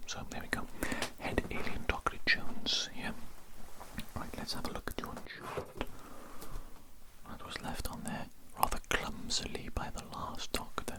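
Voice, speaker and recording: Whispering ASMR alien doctor, breathy and pompous. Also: low-quality recording. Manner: slow, repetitive, self-important, politely fussy. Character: pompous, politely fussy head alien doctor. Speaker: ASMR roleplay performer as a doctor.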